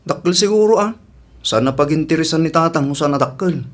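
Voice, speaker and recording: A man's voice: fairly high pitch, fairly quick, lightly acted. A man playing a grown son in a radio drama, talking in a casual, persistent way. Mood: resentful. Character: reassuring but distrustful grown son.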